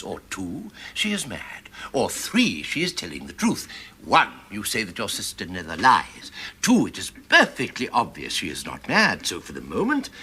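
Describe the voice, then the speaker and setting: An actor playing an eccentric, wise old English professor in a radio drama, pondering and emphatic. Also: male voice, medium pitch, bright, forceful tone, husky, full character voice. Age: older.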